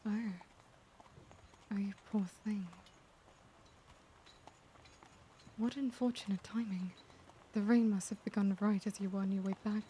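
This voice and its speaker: Girl doing a soft-spoken fancy vampire lady for asmr, mellow and doting, noisy ambience behind her. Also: mid-range voice, fairly even intonation, normal speed, close to an everyday voice. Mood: sympathetic. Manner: genteel, gentle, doting, formal wording. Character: elegant, caring vampire lady of refined old-world manners.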